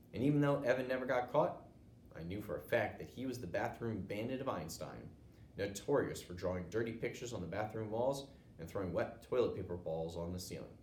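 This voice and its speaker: Low-voiced American man reading a children's book. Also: animated, fairly quick, darkish tone. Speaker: teacher reading aloud. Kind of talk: children's storybook narration. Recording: roomy echo, noticeable background noise.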